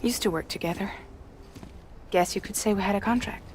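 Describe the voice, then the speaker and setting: An actress plays a tough, guarded female mercenary in a game cutscene. Her mid-pitched voice is quiet and understated, with weary, clipped phrasing. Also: brisk, a little hiss or hum, natural voice. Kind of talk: video game cutscene acting.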